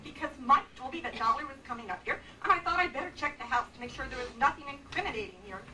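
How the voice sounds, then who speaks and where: A woman's voice: sits high, animated, fast talker, sharp tone, heavily acted. A woman playing an anxious, scheming accomplice, pleading and melodramatic in old radio thriller style. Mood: frightened, pleading. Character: anxious, scheming female accomplice, petite former stage performer. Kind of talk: 1940s-50s radio melodrama acting. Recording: distant stage mic, faint noise.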